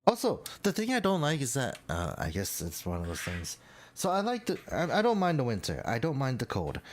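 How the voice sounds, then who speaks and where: A man's voice: medium pitch, wildly swooping pitch, fairly quick. A young man with a stuffy nose, chatting casually and rambling with lots of fillers while building a model kit. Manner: rambling, self-deprecating, full of fillers.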